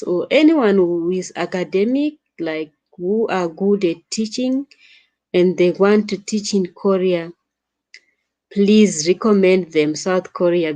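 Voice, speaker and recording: A woman's voice: medium pitch, dark tone. A young woman from Africa chatting casually on a late-night live stream, reading viewer comments and calling them sister, laughing often. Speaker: vlogger.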